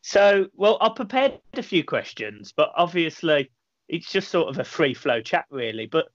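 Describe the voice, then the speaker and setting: A man's voice: on the high side, very animated, moderate pace, dry recording. A man in his thirties hosting a fan channel, chatting casually over a video call from a small room at home and often repeating words as he starts a sentence. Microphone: headset on a video call. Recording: dry, dull lo-fi call audio.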